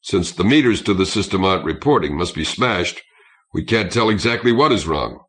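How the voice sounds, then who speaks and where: Man reading as a proud, deep-voiced starship captain. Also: lively intonation, moderate pace, fairly bright tone. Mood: tired, resigned. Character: proud, contemptuous Russian starship captain, desperate underneath. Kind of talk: audiobook character acting.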